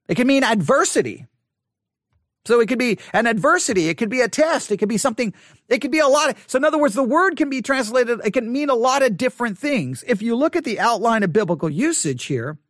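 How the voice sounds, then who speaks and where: Guy with a really high voice teaching bible study, stops and restarts a lot, dry sound. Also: a bit bright, dry recording. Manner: halting, repeats words while working through a thought. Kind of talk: thinking-aloud Bible study lesson.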